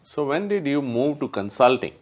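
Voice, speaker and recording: A man's voice: mid-range voice, moderate pace. A man interviewing a guest, asking short questions and murmuring agreement. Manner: brief questions and steady murmured acknowledgments.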